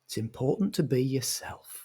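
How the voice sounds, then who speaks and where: A man playing a pompous, self-important television writer-director who speaks deliberately with weighty pauses, as in a mockumentary interview. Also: mid-range voice, animated, heavy background noise, natural voice. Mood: condescending, grave.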